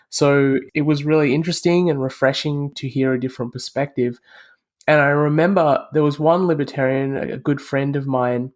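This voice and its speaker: A middle-aged man from Australia, a guest in an interview, explaining his views in a calm, reasoned way. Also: medium pitch. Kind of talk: casual interview conversation.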